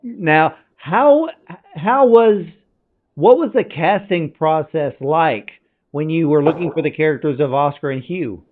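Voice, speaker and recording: A man's voice: on the high side, a little slow. A man hosting a film interview, asking questions in an eager, chatty way and often restarting his sentences. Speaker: film podcast host. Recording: tinny phone-like line.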